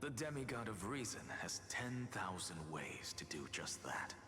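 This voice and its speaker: An actor playing a calm, wry, scheming male alchemist genius in a game. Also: fairly low pitch, normal speed. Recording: game audio with reverb and music.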